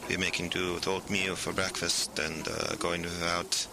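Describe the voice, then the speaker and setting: A man playing a dry, solemn research director dictating a bureaucratic log in an audio drama, halting and monotone. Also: deep voice, creaky, background noise. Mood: grim.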